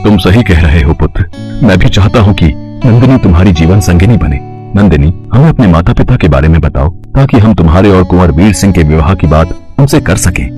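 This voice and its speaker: Regal king and father for children's tale, male actor: low-pitched, formal, stately, over background music. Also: expressive, brisk, dark tone. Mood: approving. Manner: formal, regal, speaks as royal "we". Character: worried, grateful, stern old-fashioned king and father. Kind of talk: Hindi moral storybook acting.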